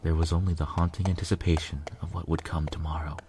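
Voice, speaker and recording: A man's voice: rumbling low, level tone, fast talker, noisy background. A man reading scripted first-person fiction as its narrator.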